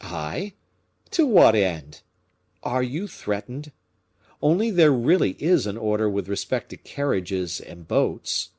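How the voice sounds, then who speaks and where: Musketeer captain for a storybook, male narrator acting: polite, shrewd, measured delivery with animated, knowing emphasis. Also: medium pitch. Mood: feigned casualness. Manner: measured, pointed, formally polite. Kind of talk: audiobook character acting.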